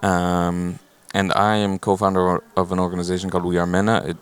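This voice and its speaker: Male panelist, American accent, very deep and even, clean steady delivery. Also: fairly quick, clean tone. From Israel, born in the United States. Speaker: panel speaker, organization co-founder. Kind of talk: conference panel introduction.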